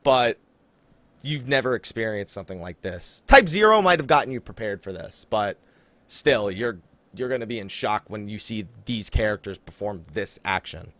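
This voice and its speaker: A man's voice: lowish voice, lively intonation, normal speed, a bit bright, dry recording. A man hosting a casual gaming podcast, talking emphatically and straight to the listeners to hype things up. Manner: emphatic, hyping, talks directly to listeners. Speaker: podcast host.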